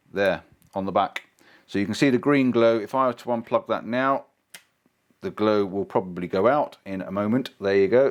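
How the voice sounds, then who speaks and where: Man, casual gear-review vlogger, mid-pitched, chatty and repetitive. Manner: chatty, repeats himself, enthusiastic. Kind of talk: casual unboxing talk.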